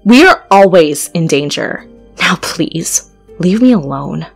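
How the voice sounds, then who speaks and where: A woman acts a sardonic young superheroine in a game roleplay. She is irritable and threatening. Her voice sits lowish but moves expressively. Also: animated, takes it slowly, gravelly, husky, soft background music, full character voice. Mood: irritated.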